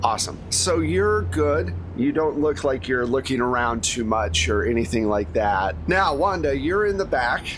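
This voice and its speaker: A man's voice: medium pitch, expressive, moderate pace, hardly any pauses, fairly bright tone, a little hiss or hum. A man running a tabletop game as game master, narrating scenes casually and prompting players in a conversational, improvised way.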